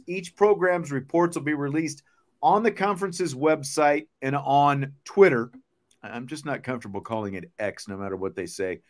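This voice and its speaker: Brief: American male sports show host, middle-aged, emphatic commentary with repeated key points. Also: mid-range voice.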